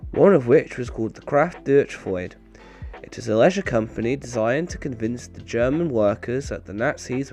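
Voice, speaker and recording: A man presents in a dry, deadpan manner at an ordinary male pitch. His voice sounds a little off, as he is getting over a bad cold. Music plays behind him. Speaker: video creator and narrator. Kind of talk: scripted narration with dry humour, casual outro.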